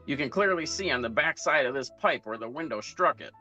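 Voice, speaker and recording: Bright-voiced man as a 1940s radio detective. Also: mid-range voice, lively intonation, a little fast, highs cut off, faint music behind. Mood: matter-of-fact. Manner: matter-of-fact, clipped storytelling. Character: hard-boiled 1940s private detective recounting his case. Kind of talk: 1940s radio noir narration.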